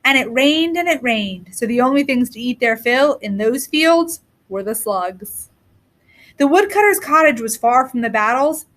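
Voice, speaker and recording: A woman narrating a scripted storybook tale as a reader, with deliberate, expressive phrasing. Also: a little high.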